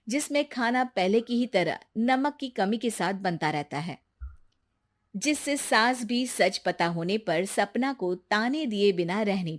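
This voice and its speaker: A woman reads a scripted domestic story as narrator. Her voice sits in a medium female range, telling events in storyteller fashion. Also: medium pitch.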